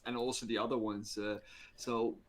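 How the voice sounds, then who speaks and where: A man's voice: on the low side, level tone, normal speed. A man co-hosting a podcast in casual chat, easygoing, often repeating words as he finds them. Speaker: podcast co-host.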